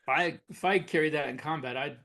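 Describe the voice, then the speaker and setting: A man co-hosting a podcast, talking casually and conversationally with halting, searching phrasing. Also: on the high side, gravelly, a bit bright. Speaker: podcast co-host, science fiction author.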